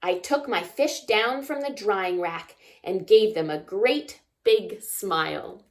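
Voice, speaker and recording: A woman reading a children's picture book aloud expressively, pausing to ask young listeners simple questions. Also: medium pitch, animated. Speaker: children's story time reader.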